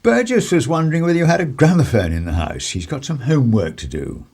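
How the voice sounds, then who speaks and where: An actor playing an urbane, wry 1930s English politician in a radio play, with a husky voice and clubbable, old-fashioned phrasing. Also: male voice, mid-range voice, sing-song, quick pace, darkish tone. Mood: smooth, insinuating.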